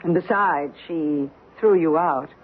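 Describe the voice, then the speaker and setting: An actress playing a wounded, sarcastic estranged wife in an old-time radio drama, with an arch, needling delivery. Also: female voice, low-pitched, slow pace, breathy edge, exaggerated voice. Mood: sardonic. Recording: muffled old radio broadcast.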